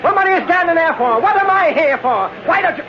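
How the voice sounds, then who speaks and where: A man playing an excitable, grouchy, harried newspaper editor in old-time radio style. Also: very high-pitched, fast. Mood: irritated. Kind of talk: 1930s-40s radio acting. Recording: muffled vintage radio recording, noise behind.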